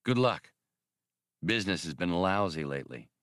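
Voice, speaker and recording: A man reads as a wry starship smuggler captain in an adventure audiobook. The voice is low and sardonic, grudging in its short remarks. The sound is dry and free of room. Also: lively intonation, moderate pace, fairly bright tone, no echo. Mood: wry, resigned.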